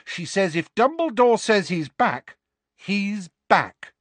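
A man's voice: high voice, big pitch swings, slow, dry recording, voice pushed up, full character voice. A man voicing a shy, earnest teenage boy. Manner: plain, simple.

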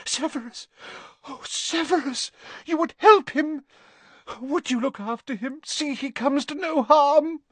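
A man's voice: animated, slow, no echo, full character voice. A man voicing a desperate, tearful aristocratic mother begging for her son in an audiobook reading. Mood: frantic begging. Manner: tearful, begging, high strained pleading.

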